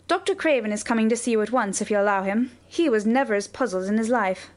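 A woman's voice: on the high side, lively intonation, fast, lightly acted. A woman reader voicing a flustered, talkative older housekeeper who rambles through her explanations. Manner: breathless, rambling explanations, lots of "sir".

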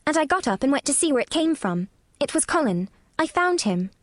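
A woman's voice: high voice, big pitch swings, brisk, no echo, studio quality, voice pushed up. A woman voicing an earnest, self-assured little girl in an audiobook. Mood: confiding. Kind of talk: audiobook character voice.

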